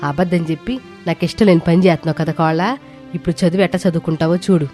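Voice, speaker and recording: A woman's voice: lowish voice, steady tone, quick pace, warm tone, faint music behind, natural voice. A woman voicing a stern, scolding middle-aged village mother-in-law with rustic speech in a cartoon moral story. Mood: angry, threatening.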